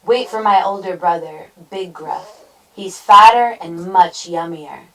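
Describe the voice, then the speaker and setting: A woman's voice: lowish voice, a little slow, a bit bright, far from the mic, poor audio. A woman voicing a cheeky, bold young male billy goat with a singsong bleat in a children's storybook. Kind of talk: children's storybook read-aloud.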